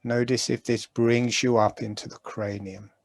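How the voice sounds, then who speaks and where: A man guiding a breathing meditation, giving calm, gentle instructions with long pauses. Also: mid-range voice, vocal fry. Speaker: meditation guide.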